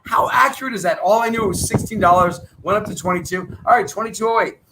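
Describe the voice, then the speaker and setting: A middle-aged man coaching traders on a live chat, talking in brisk salesy patter while thinking aloud, and he is losing his voice. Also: high voice, background noise. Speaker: trading coach.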